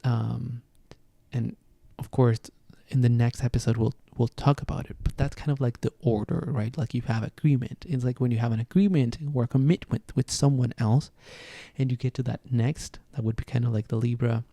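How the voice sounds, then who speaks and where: A man's voice: medium pitch, steady tone, normal speed, gravelly, mellow, dry recording. A man with a Venezuelan Spanish accent, a native Spanish speaker hosting a podcast and talking reflectively, often searching for words. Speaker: podcast host. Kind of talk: casual solo podcast talk on astrology.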